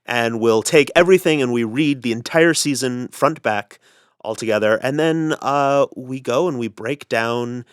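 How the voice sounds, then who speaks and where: A man being interviewed as an audio producer, explaining casually and haltingly, often pausing mid-sentence. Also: mid-range voice, smooth, no echo, studio quality.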